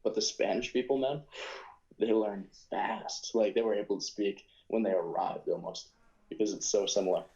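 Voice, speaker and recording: Young guy w/ new york accent, low voice, rambly with lots of ums, muffled lo-fi audio. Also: fairly even intonation, normal speed, warm tone. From United States. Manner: rambling, hesitant, lots of fillers and self-corrections. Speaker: podcast guest. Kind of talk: casual conversation.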